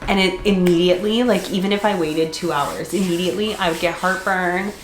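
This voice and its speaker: A woman's voice: lowish voice, fairly quick. A congested woman vlogger chatting casually, laughing often and filling her speech with fillers. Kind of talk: casual chat. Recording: echoey room.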